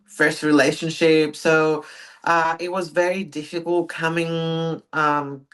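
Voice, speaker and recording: A young woman from Greece, a pro bodybuilder speaking relaxed and soft-spoken as a podcast guest. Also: low-pitched, takes it slowly. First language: Greek. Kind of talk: casual interview.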